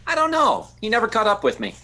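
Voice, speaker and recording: A man's voice: high voice, wildly swooping pitch, pushed and bright, full character voice. A man playing a melodramatic, easily flustered bachelor playboy in 1940s-style radio comedy, with a theatrical delivery. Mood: droll, lovelorn. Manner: drawn-out, theatrical, stammering when flustered.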